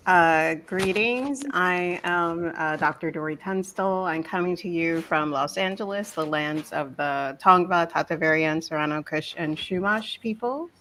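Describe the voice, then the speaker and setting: A woman introducing herself as a panelist on a video call, speaking in a measured way with frequent hesitations. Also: fairly low pitch, a bit bright, slight background noise.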